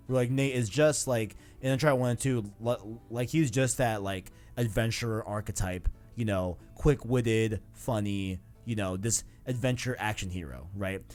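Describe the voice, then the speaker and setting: A young adult man co-hosting a podcast, casually discussing video games in an agreeable, halting way. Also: lowish voice, darkish tone. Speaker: podcast co-host.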